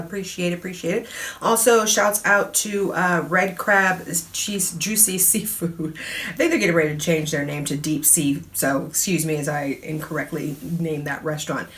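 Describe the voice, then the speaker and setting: A woman's voice: medium pitch, fairly bright tone. Chatty woman radio host, middle-aged, laughs a lot, goes off on asides. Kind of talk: casual solo talk show.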